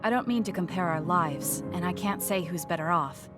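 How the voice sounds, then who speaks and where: An actress playing a blunt, socially awkward young woman soldier in a video game, speaking in a measured, earnest, matter-of-fact way. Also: on the low side, music in the background, lightly acted. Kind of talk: English video game voice acting.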